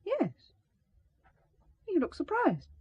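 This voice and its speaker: A woman's voice: extremely high pitch, wildly swooping pitch, takes it slowly, no echo, highs cut off, heavily acted. A woman playing an anxious, loyal secretary, hesitant, earnest and polite in an audio drama. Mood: perceptive, uneasy. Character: anxious, loyal British secretary, deferential but perceptive.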